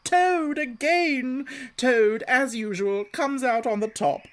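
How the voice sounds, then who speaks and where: A reader voicing a boastful, reckless male toad in a storybook, pitched well above their own voice and full of gleeful bragging. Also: lively intonation, normal speed, close to an everyday voice. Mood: gloating. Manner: gleeful boasting, shouting triumphantly. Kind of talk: storybook reading.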